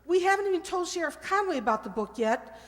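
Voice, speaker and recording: A woman's voice: very high-pitched, animated, fast. A woman playing an urgent, persistent amateur-sleuth wife in an old-time radio mystery, emphatic and pleading. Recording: echoey hall with long reverb.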